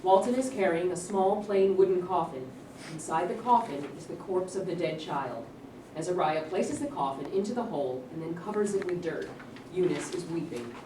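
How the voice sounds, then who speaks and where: A woman's voice: lowish voice, animated, normal speed. A woman reading stage directions as scripted narration at a staged play reading. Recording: echoey room from far off, clear noise.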